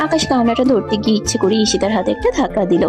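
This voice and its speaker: A woman's voice: fairly high pitch, animated, racing, over music. A woman reading scripted narration for a romantic story.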